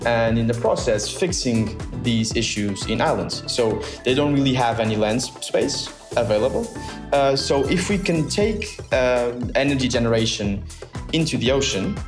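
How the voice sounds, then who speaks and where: A young man from the south of Portugal, a founder answering interview questions thoughtfully with many pauses and restarts. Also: mid-range voice, lively intonation. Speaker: startup founder, guest. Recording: roomy sound with music bed.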